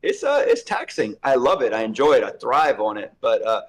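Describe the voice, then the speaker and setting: Hoarse guy rambling on in a casual chat, regular pitch. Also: sing-song, moderate pace. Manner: rambling, thinks aloud. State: slightly hoarse. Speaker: co-host.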